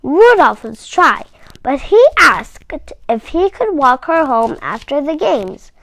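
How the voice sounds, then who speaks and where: High, animated woman reading to children. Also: background noise. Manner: animated, deliberate, dramatic emphasis. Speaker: storybook reader for children. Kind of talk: expressive read-aloud storytelling for children.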